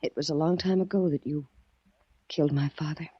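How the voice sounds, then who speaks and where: A woman's voice: low voice, steady tone, fairly quick, breathy edge, dry recording. An actress playing a cold, vengeful young woman in a 1940s radio drama, clipped and accusing. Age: young adult. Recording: muffled vintage 1940s radio recording.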